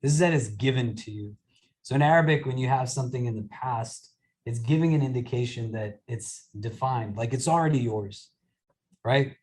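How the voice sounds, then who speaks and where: Male imam, online class, friendly explainer, mid-pitch voice. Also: moderate pace, a little mellow. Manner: explains patiently, keeps checking in with "right?". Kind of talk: casual teaching discussion. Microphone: video call.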